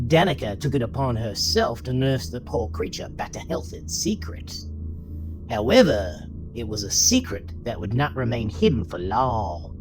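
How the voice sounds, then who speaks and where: A man narrates a dramatic story with a lively, wide-moving intonation. His voice sits at a middle pitch, with clear music playing behind it. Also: rough recording.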